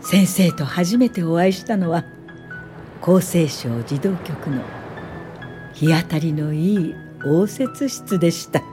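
A woman's voice: on the low side, lively intonation, over music. An actress playing a thoughtful woman in her late fifties, a welfare official, in a radio drama, speaking politely and reflectively. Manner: polite, measured, reflective storytelling. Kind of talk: radio drama narration and dialogue.

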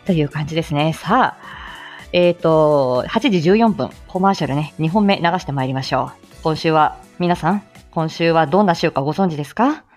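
A woman's voice: low voice, animated, moderate pace, a little mellow, faint music behind. A woman hosting a casual live audio show, chatting with and greeting listeners and laughing often as she talks. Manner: laughs often while talking.

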